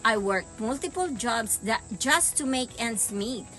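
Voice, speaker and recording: A woman's voice: a little high, animated, measured pace, fairly bright tone, soft background music, low-quality recording. A middle-aged woman from the Philippines, a teacher and grandmother, earnestly sharing a testimonial. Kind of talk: personal testimonial.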